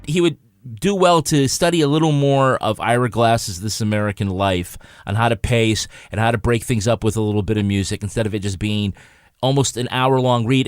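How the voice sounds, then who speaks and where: A man talks as a panelist in a casual critical review. His voice sits at an average pitch, captured dry with no room sound. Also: clear, resonant tone, dry recording. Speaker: podcast panelist.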